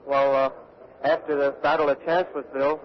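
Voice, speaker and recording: An actor playing a shy eighteen-year-old soldier, hesitant and quiet, in a 1940s radio drama. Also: male voice, a little high, flat delivery, brisk, close to an everyday voice. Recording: dull, noisy vintage radio broadcast.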